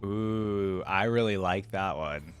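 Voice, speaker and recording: A woman's voice: rumbling low, takes it slowly, over music. A woman hosting a reaction video, talking in a casual, musing, conversational way. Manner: musing, conversational, often echoes lines back.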